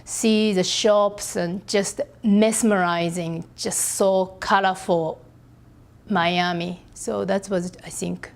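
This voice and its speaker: A middle-aged Japanese woman with a Japanese accent, speaking English as a second language as an artist guest in a podcast interview. She talks haltingly and pauses often to search for words. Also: medium pitch, steady tone, vocal fry.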